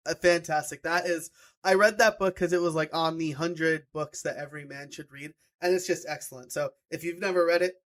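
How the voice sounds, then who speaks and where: A man from New York hosting a podcast, asking casual questions and answering with quick, upbeat affirmations. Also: fairly high pitch, steady tone, fairly bright tone. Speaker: podcast host.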